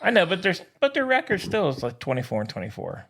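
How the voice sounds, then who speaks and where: A man with a lively, swooping delivery and a gravelly edge to his voice. He talks as a podcast co-host in casual sports banter. His manner is blunt and slangy, with a teasing streak. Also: high voice, fast.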